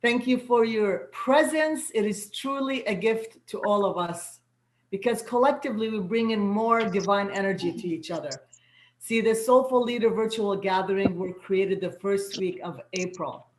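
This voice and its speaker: A woman whose first language is Arabic, hosting an online spiritual gathering as a soul guide and coach, with a gentle, affirming manner and words repeated for emphasis. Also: medium pitch, normal speed, echoey room, slight background noise, poor audio. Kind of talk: inspirational welcome to an online gathering. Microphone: video call.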